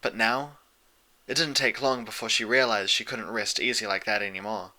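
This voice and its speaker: A man's voice: on the low side, fairly even intonation, moderate pace, pushed and bright. A man narrating a fan fiction audiobook in a scripted, literary storytelling way.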